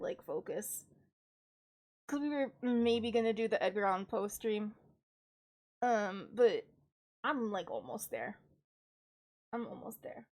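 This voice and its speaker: A young woman streamer with a stuffed-up, congested voice, chatting casually and laughing at herself. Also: medium pitch. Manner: chatty, self-deprecating, giggly asides. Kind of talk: casual chat.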